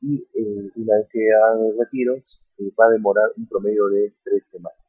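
Man, low husky voice, screen tutorial, keeps asking "ok?", tinny dry sound. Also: little pitch movement, normal speed, no echo. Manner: repeats "ok?" often, addresses viewers as friends. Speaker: online earning vlogger. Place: at a computer, voice-over on screen capture.

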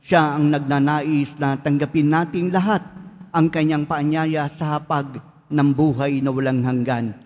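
Male priest leading mass, slow solemn prayers, long church echo. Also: on the high side, fairly even intonation, darkish tone. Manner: slow solemn liturgical recitation. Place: large church. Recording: echoey church hall.

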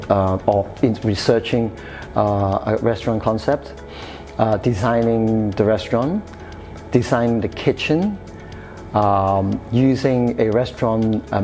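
A man's voice: fairly low pitch, warm tone. A man in his thirties from Hong Kong, raised in the UK, answering interview questions about his studies in a hesitant way, with frequent fillers and restarts. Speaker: interview guest, chef. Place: café-style room with sofa, indoors.

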